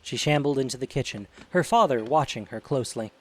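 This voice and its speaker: Male narrator for audio drama: medium pitch, scripted storytelling, faint noise behind. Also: expressive, a little fast, fairly bright tone.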